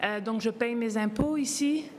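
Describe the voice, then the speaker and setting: A woman's voice: a little high, moderate pace, slight background noise. Frenchwoman in her forties, reverberant hall. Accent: French (France). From France. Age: 40s. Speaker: press conference speaker. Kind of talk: personal testimony at a press conference.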